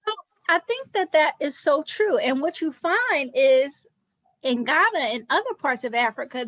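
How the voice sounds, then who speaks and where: A woman's voice: on the high side, a bit bright, no echo, poor audio. A woman guest on an interview podcast, speaking as a nonprofit director in an earnest, emphatic way and repeating key words for stress.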